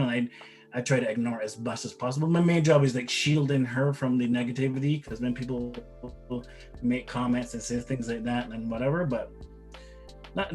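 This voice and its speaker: A man's voice: mid-range voice, darkish tone. Middle-aged male interview guest from Barbados, loose rambling delivery, mid-range voice, music underneath. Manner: rambling, repeats words, says "like" and "right?" often. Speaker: interview guest, father of the other guest. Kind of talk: casual interview answers.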